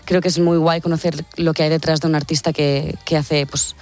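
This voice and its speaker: A woman's voice: sits low, flat delivery, brisk, darkish tone, faint music behind. Chatty young Catalan woman singer. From Catalonia, Spain. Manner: chatty, enthusiastic, frequent filler "¿no?". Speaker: singer, interview guest. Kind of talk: casual interview chat.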